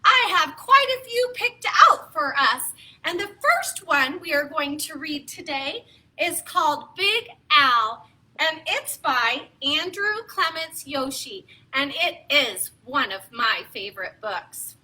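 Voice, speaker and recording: A woman reads aloud for young children as a storytime host. Her voice is extremely high and very bright, and she takes her time with slow phrasing. The delivery is theatrical and playful, with exaggerated expression. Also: sing-song, low-quality recording.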